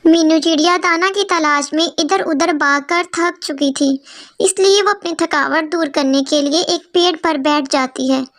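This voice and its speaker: A woman's voice: high-pitched, unhurried, fairly bright tone. A woman narrating a scripted children's story.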